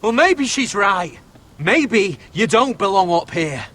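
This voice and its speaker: Husky, pleading young wildling man. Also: sits high, sing-song, takes it slowly, fairly bright tone, full character voice. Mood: pleading, guilty. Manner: earnest, pleading. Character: anxious, pleading young wildling brother, loyal friend. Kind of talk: video game drama acting.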